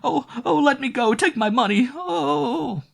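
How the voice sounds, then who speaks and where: A man doing a shrill old innkeeper woman. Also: sing-song, fairly quick, fairly bright tone, slightly rough, heavily acted. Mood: shrieking. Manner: shrill, scolding, screaming in panic. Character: stout old female innkeeper, bossy and shrill.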